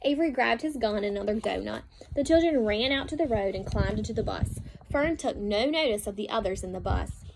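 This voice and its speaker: A woman's voice: mid-range voice, animated, brisk, vocal fry, hardly any pauses. A woman reading a children's story aloud as a storybook narrator. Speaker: storybook reader. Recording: faint background noise.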